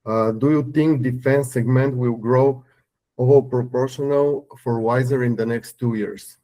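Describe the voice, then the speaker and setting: A man's voice: medium pitch, fairly even intonation, a little slow, warm tone. A man moderating an earnings call, giving instructions and reading out submitted questions.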